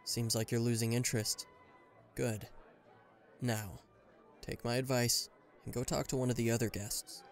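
A man's voice: mid-range voice, flat delivery, unhurried, soft background music, natural voice. A man playing an aloof, reluctantly caring bodyguard in a roleplay audio drama, talking to the listener in a dry, guarded, mildly exasperated way. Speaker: voice actor.

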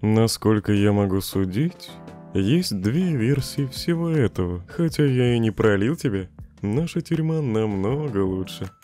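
Man acting as a mellow, teasing skeleton joker. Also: on the low side, lively intonation, faint music behind. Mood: laid-back, wry. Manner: unhurried, wry, teasing. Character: laid-back, teasing, smiling male skeleton joker. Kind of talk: fan comic dub acting.

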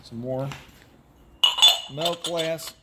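A man's voice: on the high side, drawn-out words. A middle-aged man giving casual, playful commentary as he handles items, thinking aloud and given to exclaiming. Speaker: auctioneer and host. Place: large cluttered indoor workshop or warehouse. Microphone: handheld phone camera a step or two away. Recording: noisy handheld recording with handling clicks.

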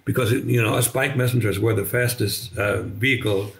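Older man with a faint German accent, gravelly. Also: on the low side, fairly even intonation. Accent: slight German. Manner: halting, many restarts and fillers. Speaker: podcast guest. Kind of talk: conversational storytelling. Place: bright living room, indoors. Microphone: video call webcam.

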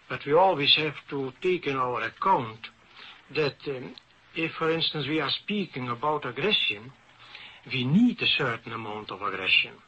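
A man with a Dutch accent giving formal academic panel remarks in careful, halting phrases. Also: medium pitch, sharp tone, husky. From Netherlands. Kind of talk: formal prepared symposium remarks. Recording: noisy vintage radio recording.

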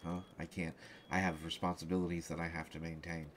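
A man playing a flustered, lovestruck young journalist in an audio roleplay, speaking in halting, hesitant stammers. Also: low voice, level tone, a little hiss or hum, close to an everyday voice. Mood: tender, resigned. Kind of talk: one-sided audio roleplay acting.

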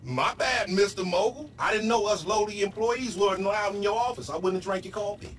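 A man acting a loud-mouthed, goofy American hustler in a video game, boastful and full of slang. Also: high-pitched, distant mic, slight background noise. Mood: boastful, needling. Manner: slangy, boastful, fast-talking hustler patter.

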